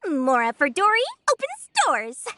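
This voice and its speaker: High, swooping young merchant woman, greedy, cheerful and flamboyant, video game style, music behind. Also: measured pace, no room sound, studio quality, full character voice. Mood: pleased, ingratiating. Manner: theatrical, flattering, salesy.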